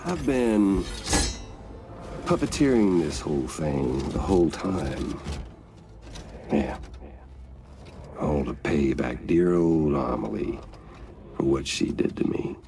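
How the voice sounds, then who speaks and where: A man's voice: a bass voice, animated, slow pace, long pauses, full character voice. A man playing a vengeful, mocking villain in a cinematic game, theatrical and drawling. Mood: gloating, bitter. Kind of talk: dramatic cinematic game dialogue.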